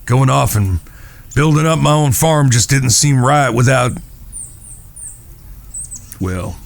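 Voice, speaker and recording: A man acting as a courteous cowboy in a Western audio drama. He has a gravelly, creaky voice at a medium pitch and a Southern American accent. His manner is folksy, earnest and protective. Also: slow. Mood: wistful, hesitant. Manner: folksy, polite. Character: Phil, earnest, courteous, protective Old West outlaw cowhand.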